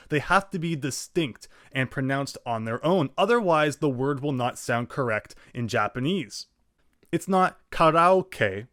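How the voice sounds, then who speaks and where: A man teaching a language lesson, explaining clearly and sounding out syllables slowly. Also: on the high side, fairly bright tone, no echo, studio quality. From English-speaking. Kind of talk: scripted lesson narration.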